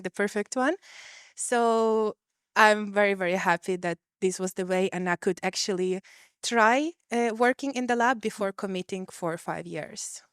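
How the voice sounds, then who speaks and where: Young female PhD student on a video call; smooth, clear voice, thoughtful and earnest. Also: medium pitch, no room sound, clean studio sound. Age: young adult. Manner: thoughtful, many fillers, earnest. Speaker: PhD student, panel guest. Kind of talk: casual panel answers.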